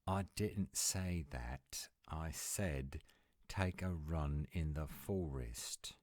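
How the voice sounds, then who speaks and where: A grumpy British werewolf, acted by a man in an audio roleplay. He speaks in a deep, deliberate voice, curt and scolding, like someone out of patience. The recording is dry and close. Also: darkish tone, dry recording, exaggerated voice. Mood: exasperated. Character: grumpy, exasperated British male werewolf, gruff protector.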